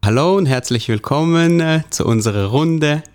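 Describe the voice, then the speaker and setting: A man moderating a radio panel, hesitant and filling pauses. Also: fairly high pitch, expressive, darkish tone, clear, resonant tone. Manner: hesitant, with frequent fillers.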